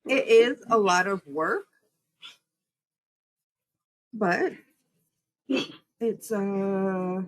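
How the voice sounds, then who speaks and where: A woman's voice: medium pitch, extremely slow, pauses often, poor audio. A woman who is a county supervisor and state representative, answering interview questions and explaining things step by step in lists.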